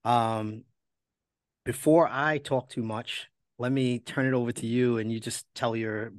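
A man's voice: mid-range voice, steady tone, a little slow, no room sound. A man hosting a podcast, interviewing in a casual, conversational way.

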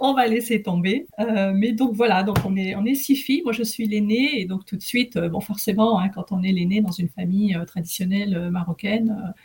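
A woman interview guest from northern France, speaking conversationally and haltingly, often repeating words as she goes. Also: mid-range voice, a little mellow, slight background noise. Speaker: podcast guest, recruitment executive.

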